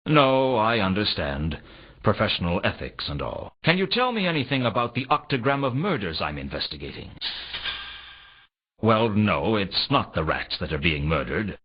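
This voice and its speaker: An actor voicing a world-weary hardboiled detective in a fantasy video game, with a sardonic, deadpan delivery in both dialogue and narration. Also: male voice, on the low side, normal speed, husky. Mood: sardonic, probing. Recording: dull lo-fi game audio, faint noise.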